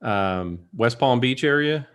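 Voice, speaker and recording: A man's voice: mid-range voice, lively intonation, slow, fairly bright tone, smooth. A male podcast host in a mid-range voice asks questions in a loose, casual way. He restarts sentences and keeps the talk friendly. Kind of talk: casual interview.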